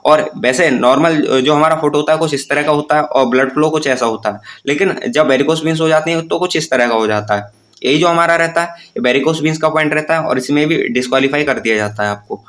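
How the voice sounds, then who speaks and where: A man with a voice pitched a little higher than most men. He presents an informal tutorial, explaining things plainly and step by step. English terms are mixed into his talk. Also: fairly quick, a bit bright. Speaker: presenter of exam-preparation tutorials. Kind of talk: informal explanatory lesson to viewers.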